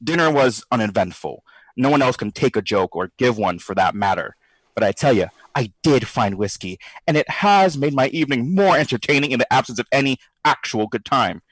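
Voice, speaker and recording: An actor playing a brash, sardonic skeptic who talks in slangy, theatrical quips in an audio drama diary. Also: male voice, medium pitch, animated, brisk, slightly rough, no echo, poor audio. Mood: bored, sardonic. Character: brash wisecracking skeptical man, boozy ghost-study participant.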